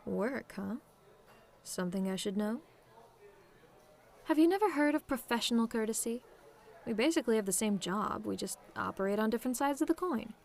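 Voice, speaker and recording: A woman's voice: fairly high pitch, expressive, moderate pace, creaky, pauses often. A woman playing a sardonic, teasing tomboy demon in a scripted roleplay monologue, talking in a casual, taunting way straight to the listener. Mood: wry, guarded. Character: sardonic tomboy female demon, relaxed, teasing, secretly fond of simple pleasures.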